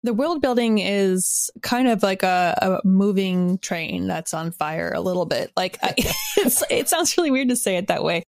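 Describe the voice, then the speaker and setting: A woman gives a casual interview in a mid-pitched voice. Her phrasing is informal and self-deprecating, full of small qualifiers. The sound is dry, with no room around it. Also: a little mellow, dry recording. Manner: informal and self-deprecating, hedging with frequent "like" and "kind of". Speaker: interview guest, audio drama writer.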